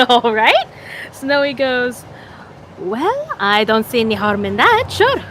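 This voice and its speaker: A woman's voice: a little high, sing-song, takes it slowly, a bit bright, slight background noise. A woman playing a tabletop roleplaying game, casually improvising narration and lines for a character.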